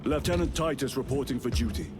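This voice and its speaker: An actor playing a stoic veteran space marine lieutenant in a video game cutscene, terse, grave and restrained. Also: male voice, medium pitch, fast talker, noisy background, close to an everyday voice.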